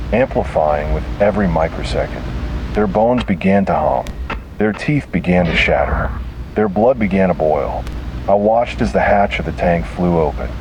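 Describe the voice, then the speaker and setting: A man reading a scripted first-person horror story in a solemn, measured manner with short, deliberate phrases. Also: sits low, noisy background. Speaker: narrator.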